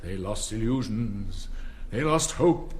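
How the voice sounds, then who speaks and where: An actor playing an elderly, pompous public-school headmaster with an upper-class English accent, declaiming ceremonially in a radio drama. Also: male voice, on the low side, animated, slow pace, a little mellow, slight background noise. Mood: solemn, nostalgic. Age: older. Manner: pompous, declamatory, sonorous oratory with stern asides.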